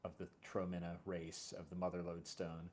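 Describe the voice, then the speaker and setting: A man with a fairly low voice talks casually as a show host. He speaks haltingly, doubling back on words and dropping in "you know" as he thinks aloud. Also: monotone, a little mellow. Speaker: series creator and show host. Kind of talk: casual conversation about a fiction series.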